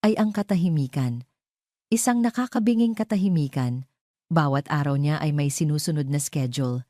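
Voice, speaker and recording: A woman's voice: deep voice, warm tone, no echo, studio quality. A woman narrating a scripted dramatic story.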